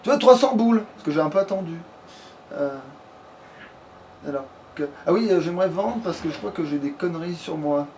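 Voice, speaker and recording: A man's voice: a little high, a little fast, darkish tone. A man giving casual let's play commentary in a crude, slangy way, swearing freely. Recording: clearly noisy home recording.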